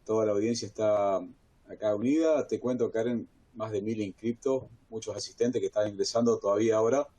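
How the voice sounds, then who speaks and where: A man's voice: fairly low pitch, a little fast, dark tone, husky. A man from Argentina with an Argentine accent, moderating a technical webinar in an enthusiastic, rambling way. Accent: Argentine Spanish.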